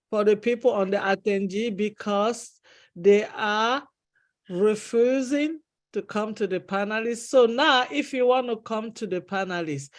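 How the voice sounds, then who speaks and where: Slow-talking woman moderating a webinar. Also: a little high. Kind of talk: unscripted call moderation. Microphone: online video call.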